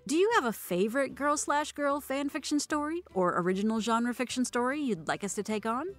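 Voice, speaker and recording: A woman narrating scripted audio fiction and podcast credits with an expressive, wry storytelling manner. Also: high voice, fairly quick. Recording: dry recording with music behind. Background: music.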